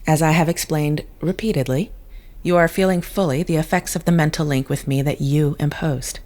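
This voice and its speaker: An actress playing a stern, coolly formal woman in an audio drama, speaking in measured, unemotional diction. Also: low voice, fairly even intonation, warm tone, close to an everyday voice. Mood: cold, firm. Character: stern, coolly formal woman once mentally linked to another.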